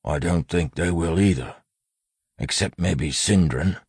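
A man's voice: low voice, a little slow, darkish tone, husky, no echo, studio quality, voice pushed up, full character voice. A man voicing a tubby, nervous captured bandit, hesitant and urgent, in an audiobook. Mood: nervous, wary. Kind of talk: audiobook character acting.

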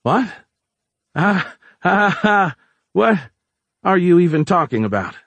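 A man's voice: fairly low pitch, expressive, moderate pace, husky, dry recording. Guy reading audiobook as flustered sweary man on the run, frantic and sputtering, dry sound. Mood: panicked.

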